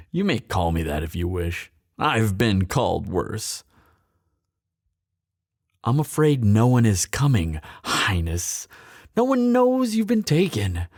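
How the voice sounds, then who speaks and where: A bitter, mocking male thief played by a man speaks in a close, dry recording. His delivery swings widely in pitch, sardonic and theatrical. It is a fantasy roleplay spoken straight to the listener, hardened by grief. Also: wildly swooping pitch, darkish tone, no echo, exaggerated voice. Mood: mocking, controlled. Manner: sardonic, theatrical, deliberate pauses. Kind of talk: dramatic fantasy audio roleplay, addressed to listener.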